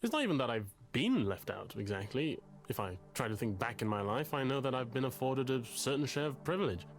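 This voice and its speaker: A man's voice: medium pitch, big pitch swings, brisk, soft background music. An actor playing a pompous, anxious, lovesick male literature student in a video game, speaking in a verbose, formal and hesitant way. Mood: formal, uneasy. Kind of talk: visual novel game voice acting.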